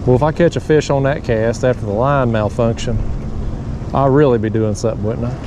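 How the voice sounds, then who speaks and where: A man from Tennessee with a Southern drawl talks folksy and easygoing as he fishes from a kayak, then gets loud and high when a fish bites. His voice sits in the middle range. He is recorded outdoors on an action camera, with clear water and boat noise behind him. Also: brisk. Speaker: fishing channel host. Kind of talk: casual fishing vlog narration. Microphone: action camera mounted on the kayak.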